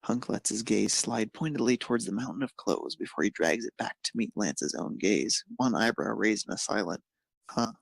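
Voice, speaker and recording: A man's voice: fairly low pitch, moderate pace, vocal fry, hardly any pauses, breathy edge, dry recording. A man reading scripted fan fiction narration as the narrator of an amateur story.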